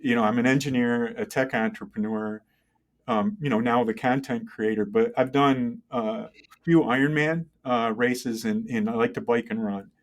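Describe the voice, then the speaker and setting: A middle-aged man speaking as an interview guest, conversational and halting, thinking aloud and often checking in with the listener. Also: mid-range voice, steady tone. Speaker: interview guest, tech entrepreneur and engineer. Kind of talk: conversational interview, telling career story.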